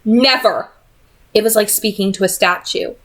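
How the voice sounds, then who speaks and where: Woman, mid-pitched voice, expressive storytelling, amateur audiobook read-aloud. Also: moderate pace. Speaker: reader of a novel read-aloud.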